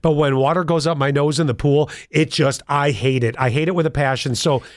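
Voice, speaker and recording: A man's voice: on the high side, steady tone, a little fast, no room sound, studio quality. A man from Buffalo, a podcast co-host who sounds stuffed-up and nasal from a cold, joking and rambling in casual banter. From Buffalo, New York. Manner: joking, self-deprecating, rambling with fillers. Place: podcast studio.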